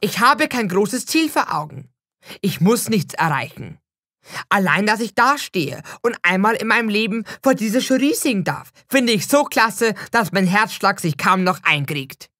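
Woman reading a memoir in first person, mid pitch, very dry recording. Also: animated, moderate pace, bright, forceful tone, dry recording. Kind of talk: scripted first-person narration.

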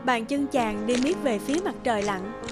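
A woman narrating a fairy tale for a cartoon in a smooth storytelling voice. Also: on the high side, normal speed. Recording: narration over loud music.

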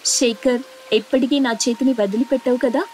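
A woman's voice: a little high, steady tone, moderate pace, darkish tone, soft background music, close to an everyday voice. A woman voicing a sensible, affectionate young elder sister in an earnest, plain-spoken way for a cartoon story.

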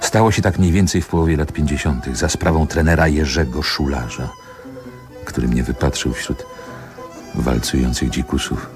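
Very low male voice, older, reflective poet, measured radio drama narration, faint music behind. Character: reflective ageing poet from Warsaw recalling his youth. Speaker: narrator and protagonist.

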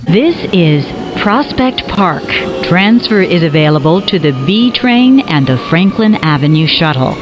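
A woman giving recorded train announcements in a measured, formal way. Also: fairly low pitch, lively intonation. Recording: dull, noisy recorded transit announcement.

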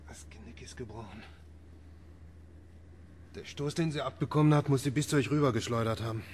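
A man's voice: mid-range voice, fairly quick, darkish tone, slight background noise, close to an everyday voice. A man acting a weary, sceptical middle-aged family man in a German radio drama. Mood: subdued.

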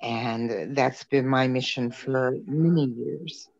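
A woman's voice: very deep voice, slow pace, vocal fry, darkish tone. An older woman introducing herself on a video call, speaking earnestly and expressively. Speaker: council member. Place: indoor room. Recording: muffled video call audio.

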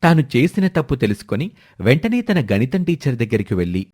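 A man's voice: medium pitch, very animated, a little fast, darkish tone. A man narrating a scripted moral story for children as a storyteller.

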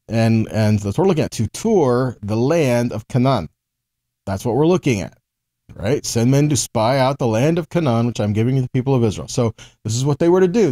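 A middle-aged man gives an informal scripture lesson in a mellow, relaxed voice at a medium pitch. He talks in a folksy, conversational way, checking in with listeners as he goes. The sound is close and dry, with no room echo. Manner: conversational, folksy, often checking in with "right?" and "guys". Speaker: Bible teacher and ministry host. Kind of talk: informal scripture teaching to camera.

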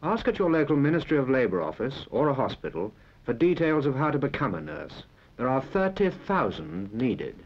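A British male narrator makes a direct, conversational appeal in 1940s information film style. His voice moves up and down in a lively way, on a dull vintage soundtrack. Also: mid-range voice, normal speed.

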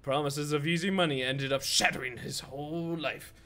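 A man's voice: fairly high pitch, steady tone, moderate pace, bright, forceful tone. A man in his twenties chatting casually and teasingly as he hosts a gameplay stream on a close microphone. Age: 20s. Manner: joking, teasing. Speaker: streamer, host. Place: indoor streaming setup, seated. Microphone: close boom-arm microphone.

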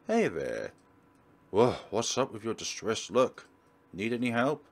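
A man's voice: on the low side, sing-song, a little slow, exaggerated voice. A man voicing a predatory, controlling male school teacher from a visual novel, with an insinuating, menacing manner. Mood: falsely friendly. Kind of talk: dramatic visual novel reading.

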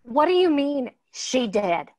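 A woman's voice: high voice, sing-song, takes it slowly, a little mellow, heavily acted. A woman reading the part of a tough, threatening female prison inmate at her own wedding, in a table read. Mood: menacing. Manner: bossy and threatening.

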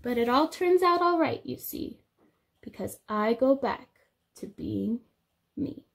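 A young woman reading a picture book aloud to small children, expressive and sing-song, explaining things simply as a teacher would. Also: on the high side, slow, creaky. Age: young adult. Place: small indoor room.

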